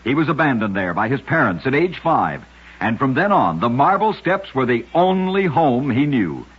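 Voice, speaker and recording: A man narrating short scripted stories for radio in a measured, dramatic storyteller style. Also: mid-range voice, very animated, breathy edge. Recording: muffled vintage-style radio recording.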